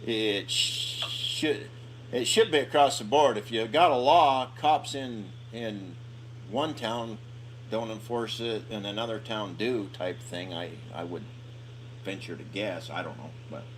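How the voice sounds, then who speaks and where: A man's voice: on the low side, a little slow, slight background noise. Hesitant man on a phone call. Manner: hesitant, restarts often, questioning. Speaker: construction worker calling a safety agency.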